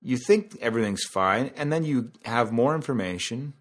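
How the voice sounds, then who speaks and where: A man's voice: mid-range voice, expressive, moderate pace. A man hosting a podcast in a casual, conversational monologue, explaining things through analogies and asides. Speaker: podcast host.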